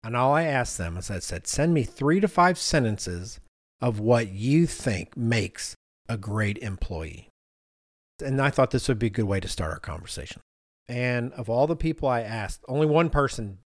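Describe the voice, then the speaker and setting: A man's voice: mid-range voice, expressive, normal speed, dry recording, studio quality. A man in his fifties hosting a conversational discussion, talking as a podcast host. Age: 50s. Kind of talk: conversational discussion, reading out responses.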